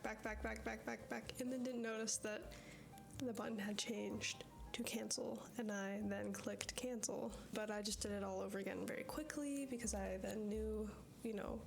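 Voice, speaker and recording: Congested young woman chatting in her studio. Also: on the high side. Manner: chatty, self-deprecating, apologetic. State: congested with a cold. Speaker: artist vlogger. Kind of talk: casual chat to camera. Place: home art studio. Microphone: phone as microphone.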